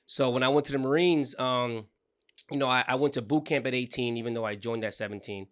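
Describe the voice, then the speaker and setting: Smooth-voiced man telling stories casually. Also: mid-range voice, fairly even intonation, fairly quick, a bit bright. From Puerto Rico, raised in New Jersey. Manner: rambling, repeats words and restarts often. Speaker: guest, credit repair business owner. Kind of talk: casual storytelling in interview.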